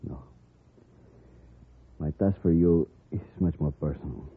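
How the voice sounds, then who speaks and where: An actor playing a dignified, stoic dying Mexican don, speaking formally and solemnly in an old radio western. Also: male voice, low-pitched, full character voice. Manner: formal, solemn, deliberate wording. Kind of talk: 1950s radio western acting. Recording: muffled, rough old radio recording.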